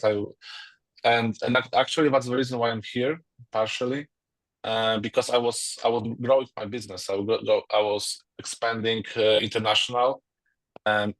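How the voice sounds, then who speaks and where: A man from Poland with a Polish accent, introducing himself on a video call in a halting way, with many restarts. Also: lowish voice, no echo, low-quality recording. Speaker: entrepreneur guest.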